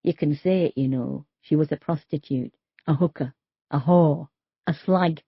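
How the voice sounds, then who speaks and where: A woman's voice: sits low, moderate pace, gravelly, breathy edge, no echo, lo-fi sound, voice pushed down, heavily acted. A woman reading the lines of a grieving, bitter working-class young man who swears and talks in a confrontational way. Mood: bitter, angry.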